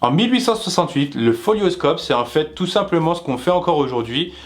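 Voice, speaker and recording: A man's voice: a little high, expressive, brisk. A man co-hosting a web show, explaining things in an informal, jokey way and often interrupting himself. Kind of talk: casual explainer chat with co-host.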